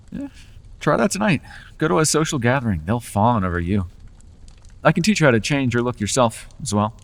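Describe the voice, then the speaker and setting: A man's voice: fairly low pitch, in a large hall. A man voicing a charming, persuasive male Fae who makes deals, with playful, coaxing salesman patter in an ASMR roleplay.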